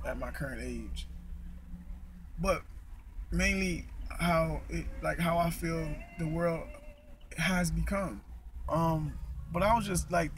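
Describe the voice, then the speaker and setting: A young American man vlogging casually, halting and restarting his sentences and clearing his throat, his mouth still numb from dental work, talking outdoors into a handheld camera. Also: fairly high pitch, a bit bright, slight background noise, poor audio. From United States. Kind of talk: casual rambling vlog. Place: outdoors in a park.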